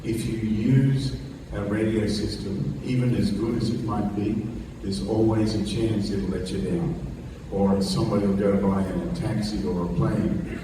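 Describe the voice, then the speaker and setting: Low-voiced guy teaching guitar, slow and rambly, roomy hall from far off. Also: fairly even intonation, background noise. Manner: rambling, repeats words and restarts, chatty asides. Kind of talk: casual workshop talk with guitar demonstrations. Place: large echoey hall before an audience. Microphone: distant microphone. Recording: roomy hall sound from a distance. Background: guitar playing.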